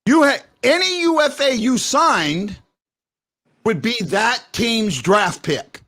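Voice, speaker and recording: A man hosting a fan talk show, loud and excitable, rambling and restarting his sentences as he argues his point. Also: extremely high pitch, slow pace. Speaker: podcast host. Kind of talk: heated hockey fan call-in talk. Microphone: headphones.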